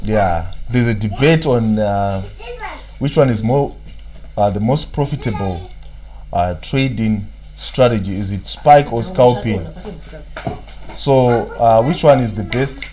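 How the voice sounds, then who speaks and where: Low-voiced Zimbabwean man streaming live. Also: slow, pauses often, mellow. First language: Shona. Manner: rambling, fills gaps with "what, what"; answers viewers' chat comments. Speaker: trading analyst. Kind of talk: live-stream market analysis.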